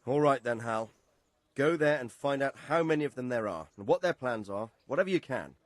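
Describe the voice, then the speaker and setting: A man voices an authoritative nobleman in a medieval game cutscene. His medium-pitched voice is recorded dry and close, with a measured, cautious, protective manner. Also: animated, no echo, close to an everyday voice. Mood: firm, concerned. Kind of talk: video game cutscene acting.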